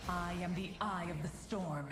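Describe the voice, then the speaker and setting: Actress as righteous game heroine, low register, stately archaic delivery, music underneath. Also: female voice, normal speed, natural voice. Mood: stern, defiant. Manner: stately, declamatory, archaic phrasing. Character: solemn, righteous warrior woman of light and order. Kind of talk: video game character voice lines. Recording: music behind the voice.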